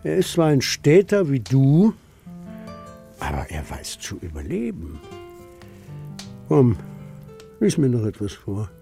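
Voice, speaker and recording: An older man playing a gruff old herdsman and stubborn grandfather in a German children's radio play, with a gravelly voice and halting speech. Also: mid-range voice, huge pitch swings, mellow, soft background music, exaggerated voice. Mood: wistful, fond.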